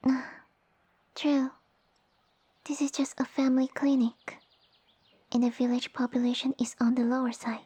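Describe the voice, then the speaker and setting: A woman voicing a small, sassy female lamia who rules her village, speaking softly and breathily with formal, imperious phrasing in an ASMR roleplay. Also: high-pitched, little pitch movement, unhurried, long pauses, dry recording. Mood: stately, concerned. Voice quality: soft, breathy, whispery. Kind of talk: ASMR roleplay audio addressed to the listener.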